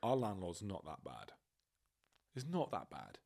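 A man's voice: low voice, wildly swooping pitch, unhurried, creaky, darkish tone, slightly rough. Nasal, blocked-up young man swearing. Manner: crude, joking banter with frequent swearing. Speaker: podcast co-host. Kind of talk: casual chat.

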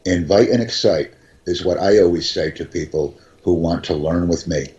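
Slow, deep-voiced man teaching English. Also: a little mellow, dull audio. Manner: slow, carefully enunciated, repeats key phrases. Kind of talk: slow, deliberate teaching talk.

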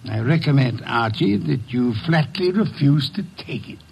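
An actor playing a commanding master detective who gives orders in a measured, dry way, in 1940s radio acting style. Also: male voice, mid-range voice, lively intonation, creaky, breathy edge, dull audio. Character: imperious male master detective.

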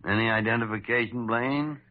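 A man's voice: mid-range voice, fairly even intonation, close to an everyday voice. Man playing a crotchety old radio-drama doctor, tinny recording. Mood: businesslike. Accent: American. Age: older. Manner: grumbling, blustery, drawn-out emphasis. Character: gruff, crotchety old senior hospital doctor. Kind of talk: 1940s-50s American radio drama acting.

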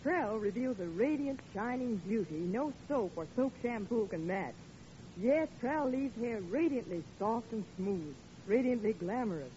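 A woman's voice: fairly high pitch, animated, measured pace, dark tone, a little hiss or hum. A woman delivering scripted 1940s radio advertising as a spokeswoman. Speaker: commercial spokeswoman. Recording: dull vintage radio broadcast.

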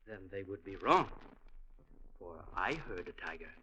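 An actor playing a sly, grandly pious Indian village mayor in a classic radio drama, speaking in a measured, formal, archly knowing way. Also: male voice, low voice, expressive, sharp tone, exaggerated voice. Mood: mock-grave conniving. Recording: noisy old radio recording.

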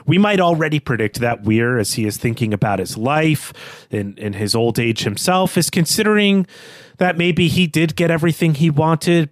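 A man's voice: medium pitch, no echo. A man co-hosting a podcast, talking through literary analysis in a conversational style. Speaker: podcast co-host.

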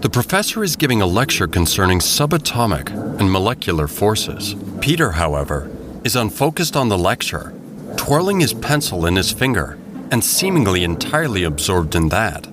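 A man narrating a scripted audio drama as a measured, descriptive storyteller. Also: fairly low pitch, big pitch swings, background noise. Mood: storytelling.

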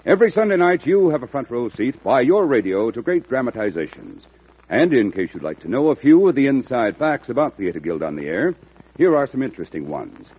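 A man's voice: mid-range voice, sing-song, a little fast, slightly rough. A man announcing in the promotional style of 1940s radio, reading scripted copy. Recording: tinny, narrow-band old radio recording.

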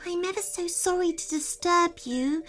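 A woman's voice: very high voice, normal speed, fairly bright tone, soft background music, voice pushed up. A woman voicing a tiny, sad female fairy for a children's storybook, timid, sniffling and very polite. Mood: tearful, apologetic.

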